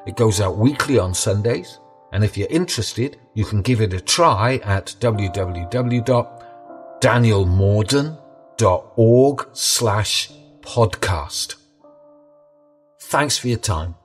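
A man's voice: fairly low pitch, animated, takes it slowly, slightly rough, faint music behind. A man who tells stories, reading a scripted promo for his podcast. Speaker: storyteller promoting own podcast.

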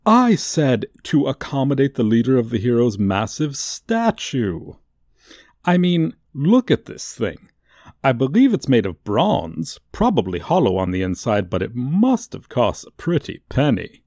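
A man playing a theatrical, sardonic supervillain posing as a tour guide in a comedic audio roleplay, with showy drawn-out emphasis and dry asides. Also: mid-range voice, big pitch swings, darkish tone, no room sound. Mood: showy, sly. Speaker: voice actor. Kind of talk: comedic ASMR roleplay monologue.

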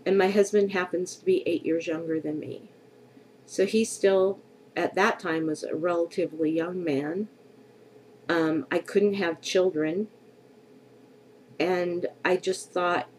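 Slow, candid woman with a cold. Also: on the low side, fairly even intonation, darkish tone, slight background noise, rough recording. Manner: slow, candid and reflective, with frequent hesitations. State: congested from a cold. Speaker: vlogger. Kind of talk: personal vlog to camera. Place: bedroom at home, indoors. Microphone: webcam at desk distance.

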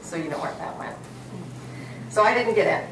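A woman's voice: medium pitch, moderate pace. An American woman in her forties delivering a keynote commencement speech from a podium. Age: 40s. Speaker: keynote speaker. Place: hotel hall, at a podium on stage. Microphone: podium mic, captured by far audience camera. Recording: roomy, distant, noisy auditorium recording.

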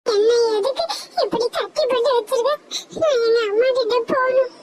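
A tiny frightened sparrow chick, voiced by a woman, squeaking very high and begging to be rescued. The cartoon recording carries a faint hiss. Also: moderate pace. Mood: tearful. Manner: scared, tearful pleading. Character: frightened disobedient little girl sparrow chick. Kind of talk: cartoon voice acting.

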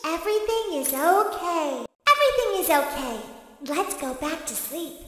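A woman voicing a sweet, childlike caretaker in a cartoon, hushing in a singsong, eerily cheerful way, with echoing reverb. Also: very high-pitched, sing-song, normal speed, heavily acted. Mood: soothing, playful. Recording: echoey hall-like reverb.

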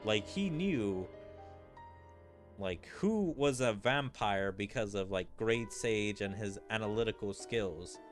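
A man doing casual game commentary as a streamer, rambling and thinking out loud. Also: on the low side, animated, takes it slowly, faint music behind. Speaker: streamer playing through a game. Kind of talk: casual game commentary, reading dialogue aloud.

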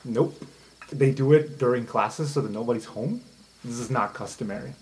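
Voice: mid-range voice, moderate pace, a little hiss or hum. A game master narrating an improvised tabletop adventure in an informal, conversational way. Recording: music bed under the voice. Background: background music.

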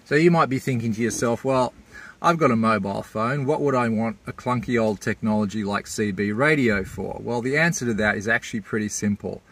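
A man's voice: lowish voice, a little fast, fairly bright tone. A man, giving a casual, plain-spoken explainer as a vlogger. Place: inside a parked four-wheel drive and outdoors at a bush campsite.

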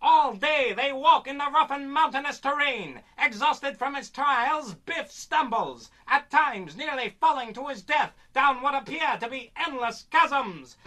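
A woman playing the dramatic, deliberate narrator of a pulp adventure radio serial. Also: high voice, pushed and bright.

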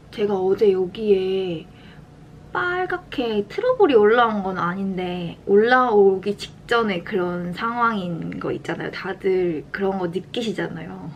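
A young adult woman from South Korea chats casually as a lifestyle vlogger during her daily routine, soft-spoken and unhurried. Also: medium pitch, lo-fi sound. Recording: clear background room noise.

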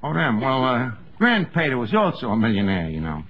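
A man's voice: mid-range voice, wildly swooping pitch, brisk. A man playing a scheming, slangy tavern manager with a New York accent in a 1950s radio comedy. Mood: boastful. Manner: malapropisms, streetwise slangy talk. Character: scheming, bragging lovestruck tavern manager, uneducated tough guy. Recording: noisy, dull 1950s radio recording.

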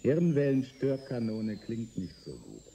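An actor playing a brooding, self-pitying weapons inventor in a German science-fiction radio drama, halting and sardonic. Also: male voice, mid-range voice, huge pitch swings, gravelly, mellow, slight background noise, heavily acted.

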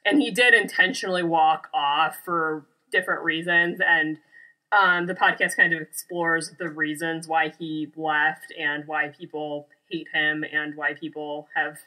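A woman's voice: low voice, steady tone, a little slow, sharp tone, low-quality recording. A woman co-hosting a podcast in a casual, conversational style. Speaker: podcast co-host. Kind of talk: casual chat.

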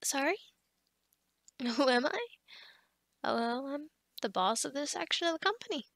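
A woman's voice: sits high, lively intonation, normal speed, gravelly, fairly bright tone, no room sound, clean studio sound, exaggerated voice. A woman acting a friendly, scatterbrained office boss in a conversational ASMR roleplay, chatty, bubbly and encouraging. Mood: helpful, chatty. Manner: bubbly, chatty, with giggles and hesitations.

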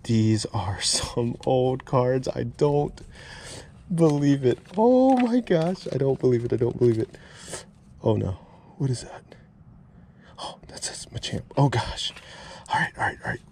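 A man's voice: mid-range voice, expressive, measured pace, darkish tone, a little hiss or hum. A man vlogging as he digs through a storage unit, amazed and repeating exclamations, filmed on a head-mounted camera. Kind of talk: excited unboxing vlog. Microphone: head-mounted action camera.

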